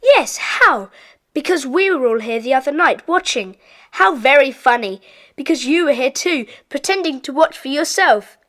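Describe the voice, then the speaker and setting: A woman's voice: sits high, animated, moderate pace, bright, forceful tone. A woman playing a keen, clever schoolboy detective in an audiobook dramatization. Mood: keen, curious.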